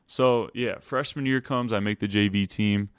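A young man, a podcast guest, telling his own story in a relaxed way with lots of "like" fillers. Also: fairly low pitch, normal speed, clear, resonant tone, dry recording, studio quality. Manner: laid-back, heavy use of "like" fillers. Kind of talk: casual storytelling.

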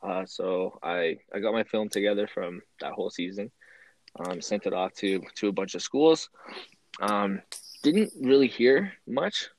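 A young man from Canada, a former university football player, telling his story as an interview guest in a relaxed way, with frequent ums. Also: lowish voice, unhurried, rough recording.

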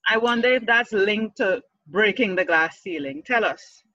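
Bright, chatty Caribbean woman host. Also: medium pitch, expressive, moderate pace, no echo, highs cut off. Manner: chatty, laughing often, curious questions. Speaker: podcast host. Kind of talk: casual interview conversation.

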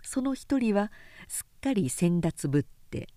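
A woman reading literary fiction aloud as a narrator, in a measured, composed and articulate way. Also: medium pitch, sing-song, dark tone, no room sound. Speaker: narrator, reader.

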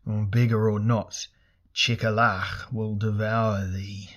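A man's voice: fairly low pitch, little pitch movement, slow pace, fairly bright tone. A man voicing a huge, ancient burning god in a fantasy story, hungry and imperious, with solemn archaic proclamations. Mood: hungry, menacing. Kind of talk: dramatic fantasy audiobook character voice.

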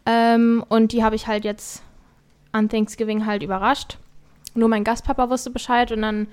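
A woman's voice: a little high, little pitch movement, moderate pace, a little mellow, clean tone. A young woman chatting casually on a podcast, rambling a little and dropping English slang into her German. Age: young adult. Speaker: podcast guest. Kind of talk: casual chat.

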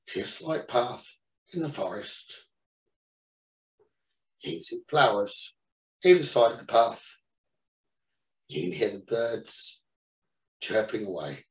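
Distant, echoey man guiding a meditation. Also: lowish voice. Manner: soothing, instructing in short phrases with pauses. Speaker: meditation teacher and guide. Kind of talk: guided meditation. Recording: roomy echo, distant from the mic.

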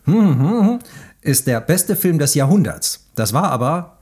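A man with a husky voice and an animated, varied melody. He sounds like a podcast host in a casual interview, feeding questions to his guest at a middling pitch. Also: a little slow. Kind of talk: casual chat, quizzing a guest.

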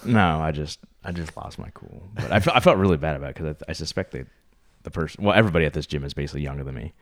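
A man's voice: very deep voice, expressive, rapid-fire. A man co-hosting a podcast, talking casually and rambling with lots of fillers. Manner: rambling, trailing off, many fillers. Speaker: podcast co-host.

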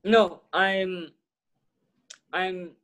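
A young university student from Brazil takes part in an interview. His voice is high for a man, and he keeps his answers short and easygoing. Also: steady tone, very slow, a bit bright. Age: young adult. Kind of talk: casual interview conversation.

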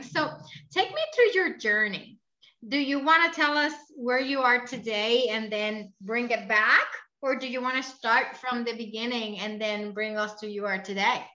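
A woman's voice: fairly high pitch, a little slow, sharp tone, dull audio. A woman hosting an interview podcast, enthusiastic and effusive with her guest. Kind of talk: casual interview chat.

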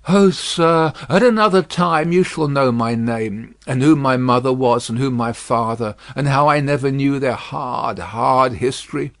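A man's voice: medium pitch, unhurried, few pauses, dry recording, clean studio sound, lightly acted. A man voicing a tender young woman who pleads with her father in earnest, imploring words. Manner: earnest, imploring, repetitive pleas.

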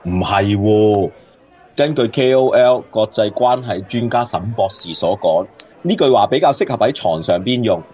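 Low, grumbling Hong Kong Cantonese man actor. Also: expressive, moderate pace, a little mellow, slightly rough. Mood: exasperated, lovelorn. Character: grumbling, lovelorn man drinking at a bar. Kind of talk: radio drama acting, bar banter.

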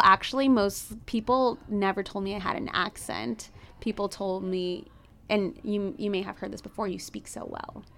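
A woman's voice: medium pitch, gravelly, fairly bright tone. A young adult woman, a native Spanish speaker, talking reflectively as a podcast guest with many restarts and fillers. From United States. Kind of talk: casual conversation.

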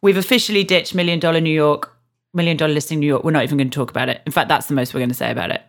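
A woman's voice: low voice, fairly even intonation, rapid-fire. Frank, chatty woman. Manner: frank, chatty, self-mocking. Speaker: podcast co-host. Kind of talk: casual chat.

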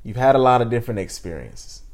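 A man in his thirties hosting a reflective interview, speaking in an earnest, deliberate way. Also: mid-range voice, expressive, a little mellow. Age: 30s. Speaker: podcast host.

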